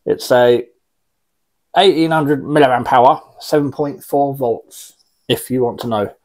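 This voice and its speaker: A man's voice: medium pitch, takes it slowly, a little mellow. A man in his forties chatting informally and rambling a little as he gives a casual hands-on product demonstration. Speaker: radio hobbyist presenting an unboxing. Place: small indoor radio room.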